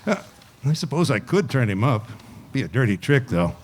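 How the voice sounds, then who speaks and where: A man's voice: mid-range voice, very animated, moderate pace, noisy background, lightly acted. A man acting an evasive, deal-making Hollywood talent agent in a 1950s-style radio play, with a folksy, hesitant way of talking. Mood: reluctant, guilty. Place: live radio play performance at an event.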